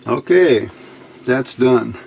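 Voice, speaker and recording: A man's voice: mid-range voice, lively intonation, drawn-out words, creaky, darkish tone, slight background noise, low-quality recording. A man giving a casual hands-on electronics tutorial, muttering to himself in short fragments as he works indoors at a bench. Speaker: electronics hobbyist demonstrating a build. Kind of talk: casual hands-on tutorial, talking while working. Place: indoor workbench.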